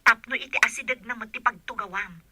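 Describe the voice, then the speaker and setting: A woman's voice: fairly high pitch, quick pace, heavy background noise, close to an everyday voice. A Filipino-accented woman playing a scheming, fun-loving, pushy friend in an audio drama, giggly and coaxing. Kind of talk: amateur audio drama acting.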